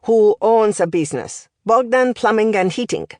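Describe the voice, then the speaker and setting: A woman's voice: mid-range voice, animated, moderate pace, a little mellow, no echo, clean studio sound, pitched above the performer's own voice, close to an everyday voice. A woman voicing an older male professor, opinionated and instructive, in an audiobook. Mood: chatty, proud. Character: older male Romanian language professor, opinionated, smoking, encouraging.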